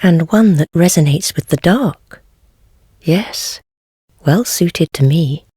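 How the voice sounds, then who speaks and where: A woman playing a wry, world-weary heretical witch in a fantasy game, speaking in measured, archaic phrases with gentle teasing. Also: lowish voice, expressive, no room sound, studio quality, heavily acted. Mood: amused, teasing. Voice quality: husky, breathy. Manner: measured, archaic formal speech, softly teasing. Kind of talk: scripted fantasy game voice acting.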